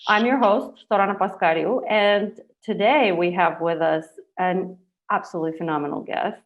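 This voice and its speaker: A woman's voice: on the low side, normal speed. Enthusiastic woman hosting, slightly dull audio. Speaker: podcast host. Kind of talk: informal podcast interview.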